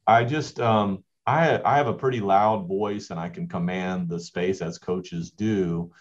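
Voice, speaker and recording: A man's voice: deep voice, fairly even intonation, measured pace. A man, a school basketball coach, answering interview questions in a rambling way with many restarts. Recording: dull lo-fi audio.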